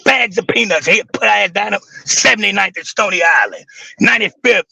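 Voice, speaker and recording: A man with a raspy, gravelly voice that sits high and sounds bright and tense. He talks with intense emphasis and swears freely, and the sound is dry and close. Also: lively intonation, fairly quick, no room sound. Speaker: guest on a live stream. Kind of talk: casual livestream talk.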